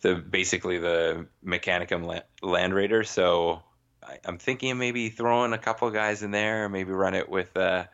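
A man co-hosting a podcast, chatting casually in a halting way with many restarts, recorded on a slightly dull, lo-fi line. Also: low voice, steady tone, a bit bright. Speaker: podcast co-host. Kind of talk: casual chat about a hobby.

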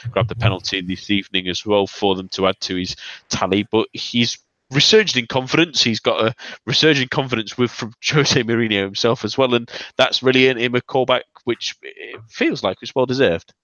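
A man's voice: medium pitch, normal speed, pushed and bright, dry recording. A man hosting a casual football podcast, talking loosely with plenty of restarts. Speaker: podcast host. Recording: dull lo-fi dry recording.

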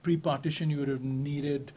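Higher-voiced man explaining with fillers. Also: fairly even intonation, normal speed, dark tone, slightly rough. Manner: explanatory, full of fillers and restarts. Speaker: faculty researcher. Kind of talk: casual academic discussion.

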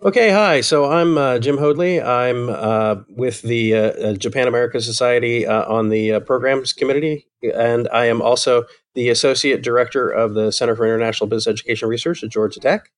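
A man hosting a casual podcast chat, speaking haltingly with many "uh" fillers. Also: mid-range voice, fairly even intonation. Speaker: podcast host, society committee member.

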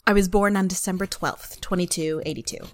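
An actress playing an earnest young woman applying to a space program in an audio drama, with formal, measured answers. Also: on the low side, fairly even intonation, no echo, clean studio sound, lightly acted.

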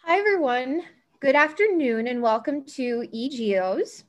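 A woman with a fairly high voice hosts an interview over a video call from home. She is friendly and chatty, and her questions ramble a little. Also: expressive, a little slow. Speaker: host. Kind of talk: casual interview.

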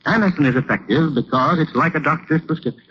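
An American man announcing a scripted old-time radio advertisement in a formal, earnest, deliberate way. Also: a little high, a bit bright. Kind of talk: 1930s-40s radio advertisement. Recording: muffled old radio recording, faint noise.

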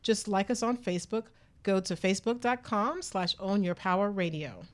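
A woman's voice: mid-range voice, normal speed. A woman hosting a casual radio talk show in a chatty, informal way.

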